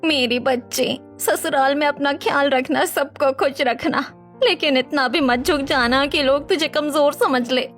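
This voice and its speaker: A woman voicing a caring middle-aged mother who gently advises her daughter in a family drama. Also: high voice, unhurried, soft background music. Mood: tender, advising. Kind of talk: scripted family drama storytelling.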